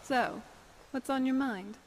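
A woman playing a young female bard companion in a fantasy video game, speaking in a measured, conversational, musing way. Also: high voice, a little hiss or hum, rough recording. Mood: friendly, obliging. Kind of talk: scripted video game dialogue.

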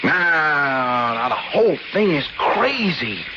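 A man's voice: fairly high pitch, big pitch swings, slow pace. An actor playing a hot-headed, frightened young male student, slangy and stammering, in vintage radio drama style. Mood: defensive. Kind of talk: 1970s radio mystery acting. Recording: muffled noisy 1970s radio recording.

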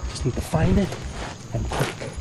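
An actor playing a gruff, streetwise soldier in a video game, speaking in a clipped, urgent, colloquial way. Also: male voice, a little high, animated, measured pace, background noise. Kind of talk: video game cutscene acting. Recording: very loud music behind.

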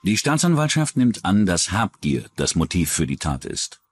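A man narrating a scripted true-crime documentary in a measured, dramatic storytelling manner. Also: low-pitched, sing-song, husky.

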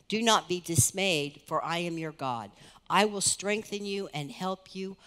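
A middle-aged woman pastor preaching on a church stage, earnest and conversational, her voice rising and softening as she speaks. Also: fairly low pitch. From United States. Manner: earnest, conversational preaching with lively rises and soft drops. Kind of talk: sermon. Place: large church stage, indoors. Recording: reverberant church hall.

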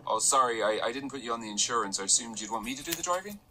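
A man's voice: mid-range voice, animated, rapid-fire, bright, forceful tone, a little hiss or hum. An actor in a radio drama playing a sardonic male office analyst in his thirties, narrating and talking in a dry, wry way. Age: 30s.

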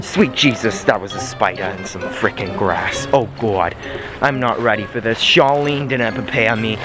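A man's voice: mid-range voice, close to an everyday voice. Guy doing a dramatic jersey girl voice, gossipy and complainy, phone mic outside, music under it. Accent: put-on New Jersey. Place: outdoors in sunny woods. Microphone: phone held at arm's length.

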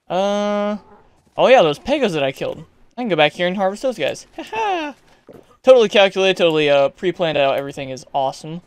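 A young man giving casual, chatty gameplay commentary, excitable and yelling at creatures, a bit hoarse as he is losing his voice. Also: on the high side. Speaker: gaming YouTuber.

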